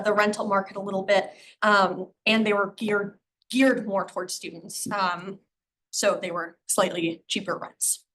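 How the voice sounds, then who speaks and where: A woman gives a public presentation on town housing figures. Her voice is slightly hoarse from a cold, and she explains things plainly, filling pauses with ums and uhs. Her pitch sits in the middle of the range, with a faint rumble behind her. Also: fairly bright tone. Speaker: town planner presenting a comprehensive plan.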